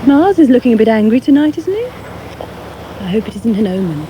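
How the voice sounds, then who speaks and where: An actress playing a playful, observant newlywed writer in a radio drama. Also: female voice, on the high side, sing-song, normal speed, noisy background. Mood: curious.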